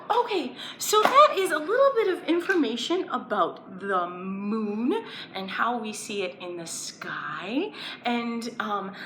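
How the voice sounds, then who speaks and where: A woman presenting a children's educational story time, playfully asking young viewers simple questions as she explains and reads aloud. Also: fairly high pitch. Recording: roomy echo, background noise.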